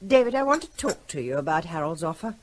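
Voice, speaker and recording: An actress playing a brisk, nagging middle-aged wife and mother in a radio drama, bossy, practical and pointed. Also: on the low side, big pitch swings. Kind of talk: naturalistic radio drama acting.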